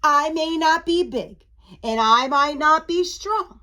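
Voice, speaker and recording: A woman voicing a small, clever, encouraging bat in a children's storybook, with emphatic, measured delivery. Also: high voice, expressive, bright, forceful tone, pitched above the performer's own voice, exaggerated voice. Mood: confident. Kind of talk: children's storybook read-aloud.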